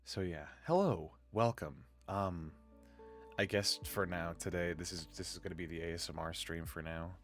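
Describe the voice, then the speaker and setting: A man hosting a live stream in casual chat, groggy from just waking up and rambling in a low-key way. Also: normal speed, soft background music. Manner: rambling and low-key, with many filler words. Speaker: streamer and podcast host.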